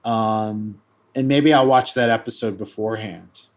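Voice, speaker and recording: A man in his fifties co-hosting a podcast, talking casually in a halting way that often repeats words. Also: lowish voice, steady tone. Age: 50s. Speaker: podcast co-host. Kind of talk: casual chat.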